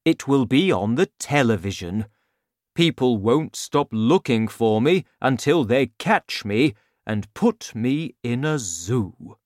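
A man voicing a huge, gentle giant in a storybook reading, speaking slowly and loudly. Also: animated, dry recording, clean studio sound. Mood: booming. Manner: slow, booming, deliberate.

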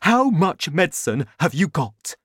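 A woman voicing a kind but greedy, excitable father in a children's storybook, loud and exclaiming. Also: extremely low pitch, slow pace, no room sound, studio quality. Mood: eager, greedy.

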